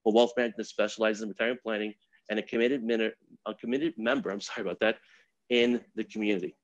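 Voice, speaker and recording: A man's voice: lowish voice, fast, dry recording. Earnest man on a dull video call. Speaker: wealth management advisor. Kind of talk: prepared community talk on video call. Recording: dry, slightly dull video call audio.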